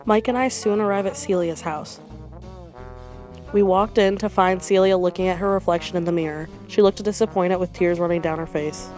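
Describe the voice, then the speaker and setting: A woman's voice: on the low side, steady tone, moderate pace, darkish tone, soft background music, natural voice. A woman giving scripted first-person narration in an amateur fairytale parody. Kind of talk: scripted storybook narration and character dialogue.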